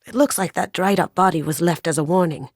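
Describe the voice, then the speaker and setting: A woman's voice: on the low side, brisk, no echo, studio quality. A woman voicing a playful, wry young master thief in a sci-fi video game, with casual, cheeky delivery. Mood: uneasy, subdued. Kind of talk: video game squad voice lines.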